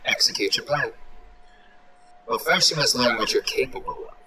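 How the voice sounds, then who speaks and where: A man plays a stern military hologram teacher in a tabletop game. The voice is very bright and forceful, giving terse instructions like a drill instructor to a recruit. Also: mid-range voice, lively intonation, normal speed, slightly rough, exaggerated voice, rough recording. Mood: stern, instructing. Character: stern bald clone soldier, holographic combat teacher. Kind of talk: tabletop game role-play.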